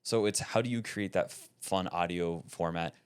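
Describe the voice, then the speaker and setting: A man talking casually as an interview guest, emphatic and animated, often checking in with "right?". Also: low voice, moderate pace, clean tone. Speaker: podcast network guest.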